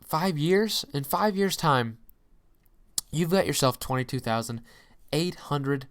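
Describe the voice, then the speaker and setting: A man's voice: a little high, lively intonation, slow pace. A young man giving an informal, emphatic explainer as a channel host, peppered with folksy exclamations and rhetorical questions. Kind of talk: informal explainer, walking through a calculator.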